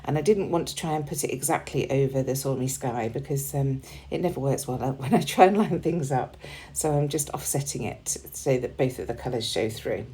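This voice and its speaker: A woman with a slightly hoarse voice, chatting in a relaxed way as she narrates a scrapbooking process video, with plenty of ums. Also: very deep voice, normal speed. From United Kingdom. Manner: relaxed and chatty, with frequent ums and small laughs. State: slightly hoarse, losing her voice. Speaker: crafting channel host.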